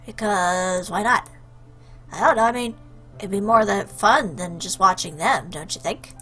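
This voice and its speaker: A woman's voice: mid-range voice, measured pace, fairly bright tone, soft background music. A woman giving casual, jokey commentary as she plays a game, reading choices aloud in a playful, theatrical, teasing way.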